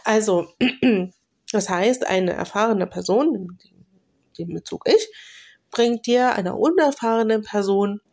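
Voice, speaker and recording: A woman hosting her own podcast while she has a cold, talking loosely with many fillers, restarts and throat-clearing. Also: mid-range voice, takes it slowly, pauses often, darkish tone. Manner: clears throat now and then. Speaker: podcast host and mentor. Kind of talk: solo podcast talk.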